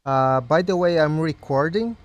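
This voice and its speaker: A man's voice: fairly high pitch, normal speed. A man operating amateur radio, chatting casually in a friendly way and spelling things out phonetically. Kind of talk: casual two-way ham radio chat.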